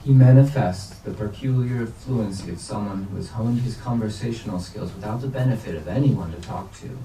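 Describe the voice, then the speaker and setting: A man's voice: fairly low pitch, dark tone. A man reading literary prose aloud at a bookstore event, as scripted narration. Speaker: reader at a staged literary reading. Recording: roomy, distant mic, faint noise.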